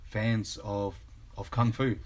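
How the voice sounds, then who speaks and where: Laid-back New Zealand man, low voice. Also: slow. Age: 30s. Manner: relaxed, hesitant with many ums. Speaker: guest. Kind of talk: casual podcast chat.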